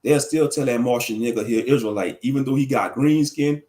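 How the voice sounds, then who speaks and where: A man's voice: mid-range voice, brisk, mellow. Dude rambling on his livestream, slangy and kinda preachy, chuckles at his own bits. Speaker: livestream commentator. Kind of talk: casual livestream talk answering chat.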